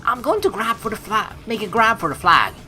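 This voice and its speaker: A woman streamer reading a game's narration aloud, mixed with casual, expressive commentary. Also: fairly high pitch, a little fast, over music. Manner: expressive, animated asides. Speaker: let's play streamer.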